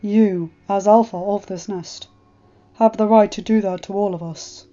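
A woman's voice: medium pitch, fairly even intonation, measured pace, mellow, highs cut off, soft background music, lightly acted. A woman reading aloud and voicing a solemn, formal male dragon who speaks with grave deference. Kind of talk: fan fiction audiobook reading.

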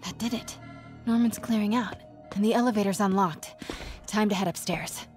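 A woman's voice: medium pitch, fairly even intonation, moderate pace, darkish tone, close to an everyday voice. Actress as young woman sleuth, determined, stealthy murmur, self-talk, video game, background music. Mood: wry, stealthy. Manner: murmuring to herself, often dropping to whispers. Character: determined young female reporter sneaking as amateur spy.

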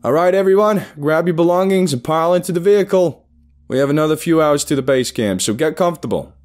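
Man acting loud, impatient boss barking orders. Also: on the high side, fast, pitched above the performer's own voice, close to an everyday voice. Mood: brisk, commanding. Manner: shouting, commanding.

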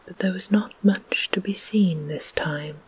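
A storyteller whispers a children's tale with no voice behind the breath at all. The reading is very slow and soft, and it stays airy and hushed from line to line. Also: medium pitch, breathy edge, poor audio. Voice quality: unvoiced whisper.

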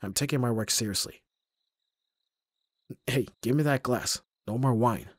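A man playing an abrasive young bodyguard who is secretly soft, in an ASMR roleplay audio drama, with gruff, protective exasperation. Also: medium pitch, unhurried, no room sound. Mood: exasperated, stern.

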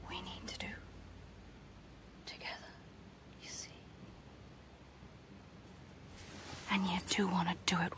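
A woman voicing a sinister, coaxing evil clown dentist in a breathy ASMR horror roleplay whisper, slow and menacing. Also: on the low side. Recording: noisy close-up home recording.

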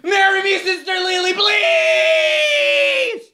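A man voicing a loud, desperate, lovesick teenage anime boy who pleads in shrieks. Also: very high voice, expressive, very slow, voice pushed up, full character voice. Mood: desperate pleading.

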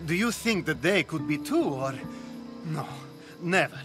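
An actor playing a tipsy, defensive Greek reveller with a loose, hesitant manner in a video game. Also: male voice, fairly high pitch, expressive, measured pace, over music. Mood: defensive, worried.

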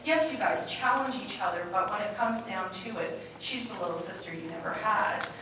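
A woman's voice: medium pitch, lively intonation, moderate pace. Earnest woman moderator in a big hall. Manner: earnest, admiring questions. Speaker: panel moderator. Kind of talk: asking questions at a panel. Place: large convention hall. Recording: echoey hall from far off, noisy.

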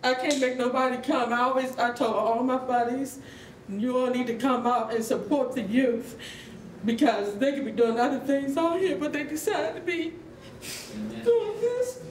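A middle-aged woman giving an informal, heartfelt and folksy speech from among an audience in a hall. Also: moderate pace. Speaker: proud mother speaking from the audience. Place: indoor rehearsal hall, standing among audience. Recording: echoey hall, distant mic, faint rumbly noise.

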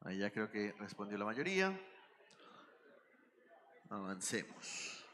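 A man's voice: fairly low pitch, sing-song, slow pace, pushed and bright, a little hiss or hum. A middle-aged man with a Colombian accent giving a conference talk on stage, explaining and putting questions to the audience. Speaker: conference speaker. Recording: reverberant hall, faint ambience.

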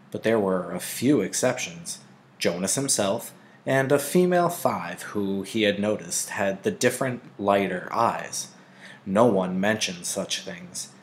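Male narrator, fairly deep voice, teacher reading a novel aloud.

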